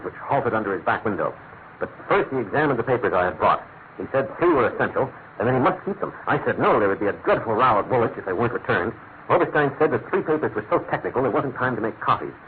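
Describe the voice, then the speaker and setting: A man playing a desperate, frightened English gentleman colonel in an old-fashioned radio drama, agitated and pleading, with a British accent. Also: medium pitch, close to an everyday voice. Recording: tinny, narrow-band old radio, faint hiss.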